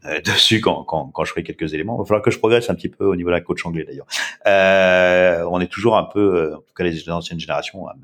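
A man in his fifties with a very low voice talks through an interview as a startup founder. He rambles a little and fills his pauses with hesitation sounds. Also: fairly bright tone. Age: 50s. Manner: rambling, many filler sounds. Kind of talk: casual interview answers.

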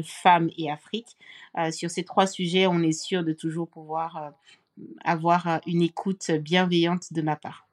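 Woman, middle-aged, from Cameroon, lowish voice, hesitant, many fillers, podcast guest. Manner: hesitant, many fillers and restarts, warm laughs. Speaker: podcast guest, foundation founder. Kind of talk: conversational interview.

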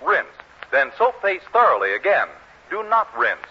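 A man's voice: high-pitched, wildly swooping pitch, moderate pace, breathy edge. An American man announcing and narrating from a script in 1940s radio style. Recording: muffled noisy 1940s radio recording.

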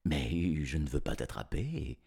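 A sly, smooth-tongued fox from a children's tale, played by a man. The voice is low and hushed, murmuring in a coaxing, falsely helpful way. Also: big pitch swings, a little slow, full character voice. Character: sly, soft-spoken coaxing male fox.

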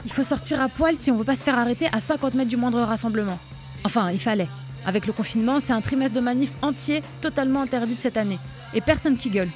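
A woman's voice: fairly high pitch, fast, darkish tone, faint music behind, close to an everyday voice. A woman playing a sarcastic, irritable young protester with a foul mouth in a French audio fiction. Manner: sardonic, irritable.

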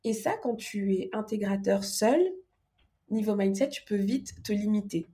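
A woman's voice: mid-range voice, moderate pace, warm tone. A woman speaking casually as a podcast guest, explaining things informally with examples. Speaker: podcast guest, organisation coach. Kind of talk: casual interview conversation.

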